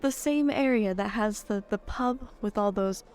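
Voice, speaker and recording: A woman playing a concerned, disapproving aristocratic lady in improvised tabletop roleplay, formal and haltingly polite as she probes. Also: mid-range voice, darkish tone, lightly acted.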